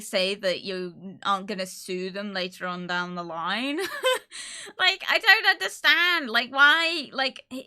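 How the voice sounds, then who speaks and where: A woman's voice: medium pitch, sharp tone. A woman in her twenties chatting casually and candidly as a live streamer, emphatic and repeating phrases for stress. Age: 20s. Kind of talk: casual livestream chat, candid personal talk.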